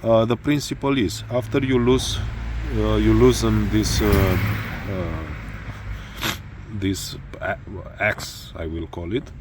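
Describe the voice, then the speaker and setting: Slow hesitant man, Romanian accent. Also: fairly low pitch, fairly even intonation, noisy background. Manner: halting, many fillers, searching for words. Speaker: motorcycle tutorial maker. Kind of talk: hesitant spoken tutorial.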